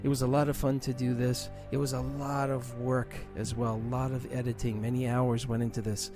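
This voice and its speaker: A man's voice: medium pitch, little pitch movement, a little fast, music in the background. A man who makes solo audio dramas, talking casually as he signs off to listeners. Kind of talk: casual sign-off.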